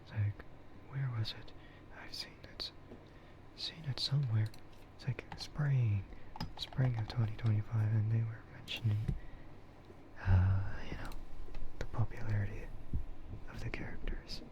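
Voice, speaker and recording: A low-pitched man streams casual ASMR chat in a soft, breathy whisper. He rambles slowly and hesitantly, close to the mic. The sound is a little dull and muffled. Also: fairly even intonation.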